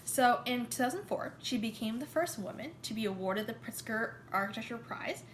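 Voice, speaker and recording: A woman's voice: a little high, animated, normal speed, reverberant, a little hiss or hum. A young woman co-hosting a podcast, casually walking her co-host through facts with many "like"s and restarts, seated on a couch in a living room. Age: young adult. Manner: informative, lots of "like" and restarts.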